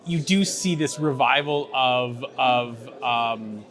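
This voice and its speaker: A man's voice: mid-range voice, slow, fairly bright tone. A male news interviewer asking long, rambling questions full of fillers and restarts. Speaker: interviewer for a mining news channel.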